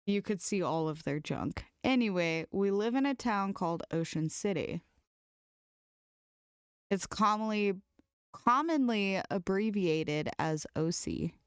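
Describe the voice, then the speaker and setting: A woman's voice: mid-range voice, expressive, unhurried, clean tone, no room sound, studio quality. A woman co-hosting a podcast, casually chatting and reading funny stories aloud. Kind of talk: casual comedic chat and story reading.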